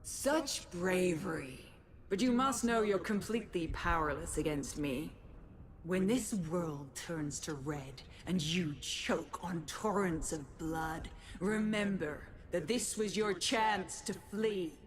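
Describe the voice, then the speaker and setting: A possessed warrior woman in a sci-fi game. She speaks very slowly, with cruel, gloating grandeur and dramatic menace. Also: fairly low pitch, a crowd in the background. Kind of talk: dramatic video game acting.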